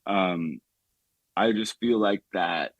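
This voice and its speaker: A man's voice: low-pitched, slow pace, no echo. A young man from the Bay Area calling in to a talk show, rambling casually and filling nearly every phrase with "like". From San Francisco Bay Area. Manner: rambling, heavy use of "like", many restarts. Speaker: caller. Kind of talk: casual call-in chat.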